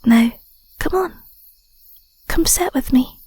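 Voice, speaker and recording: A woman voicing an affectionate, bashful young Scottish werewolf girlfriend in an intimate audio roleplay, soft, whispery and a little hesitant, close on the mic. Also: sits high, lively intonation, takes it slowly, breathy edge, no room sound, exaggerated voice. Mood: sincere, tender. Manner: hesitant, stammering, murmuring endearments. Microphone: close microphone.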